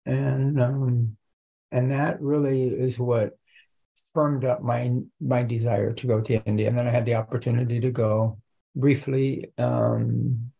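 Older man, native Italian speaker, gravelly, mellow, husky, reflective guest on a video call. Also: medium pitch, flat delivery, rough recording. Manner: unhurried, reflective storytelling with soft laughs. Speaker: guest, religion professor. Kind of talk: casual conversation.

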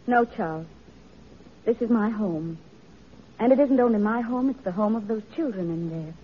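A woman's voice: mid-range voice, normal speed, lightly acted. An actress in an old-time radio drama playing an earnest, determined woman reformer with a genteel, emotive, pleading manner. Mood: calm, resolute. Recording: dull vintage radio recording, noisy, crowd behind.

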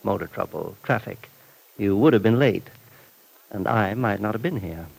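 A man's voice: on the low side, expressive, normal speed, gravelly, breathy edge, exaggerated voice, rough recording. An actor in an old-time radio drama playing a cold, mysterious, menacing man who speaks in a clipped, domineering way. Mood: suspicious, probing. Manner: clipped, controlled, coolly domineering.

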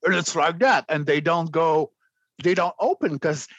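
A man, a guest vocalist, explaining extreme singing in a conversational interview, clearing his throat often between demonstrations. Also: fairly high pitch, expressive, moderate pace, a bit bright, no room sound, highs cut off.

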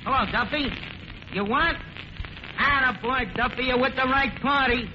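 A man's voice: very high voice, normal speed. A man acting a brash, slangy tavern manager who mangles his words in an old radio comedy. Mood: excited. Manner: malapropisms and bad grammar, bluffing. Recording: noisy, muffled old radio recording.

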